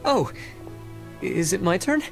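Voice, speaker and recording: A man playing a timid, meticulous civil servant in a video game, halting, apologetic and fussily precise. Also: high-pitched, sing-song, gravelly, soft background music, full character voice. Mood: nervous, halting.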